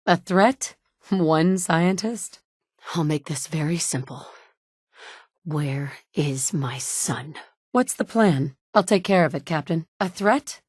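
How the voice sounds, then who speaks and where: A woman's voice: low voice, lively intonation, takes it slowly, a little mellow, no echo, full character voice. A woman playing a determined mother turned hardened wasteland survivor, speaking firm, direct lines of game dialogue. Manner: firm, direct, dry and commanding. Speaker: player character voice. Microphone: studio mic, close.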